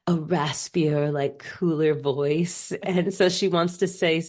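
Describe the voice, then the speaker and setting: A woman in her late thirties, co-hosting a podcast in casual chat, her voice still rough from a cold, rambling with lots of "like". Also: low voice, fairly even intonation, takes it slowly, darkish tone. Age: middle-aged. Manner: chatty, lots of "like", rambling storytelling. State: recovering from a cold, voice not fully back. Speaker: podcast co-host. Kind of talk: casual chat over a video call. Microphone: remote call mic.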